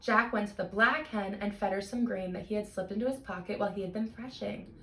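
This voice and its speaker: A woman hosting storytime like a teacher, reading a picture book to young children in an animated, expressive way. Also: medium pitch, a little fast, echoey room, far from the mic, poor audio. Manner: animated, expressive teacher talk with simple questions and explanations.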